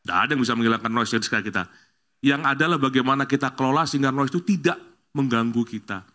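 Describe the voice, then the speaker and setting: Man, middle-aged, from Bali, church sermon, lively, loud exclamations. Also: mid-range voice, racing. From Bali, Indonesia. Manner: lively, rising to loud exclamations, jokes with the congregation. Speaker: guest preacher. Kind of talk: opening prayer then sermon. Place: church service.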